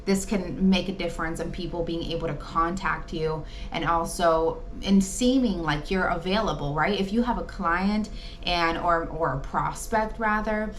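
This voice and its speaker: A woman's voice: fairly low pitch, slight background noise. A woman presenting an informal training talk, advising in an emphatic, conversational way. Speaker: presenter giving training tips to insurance agents.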